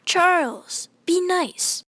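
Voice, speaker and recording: A woman's voice: extremely high pitch, expressive, extremely slow, a bit bright, voice pushed up, full character voice. A woman playing a gracious, peacemaking lady in a radio drama, chiding and soothing.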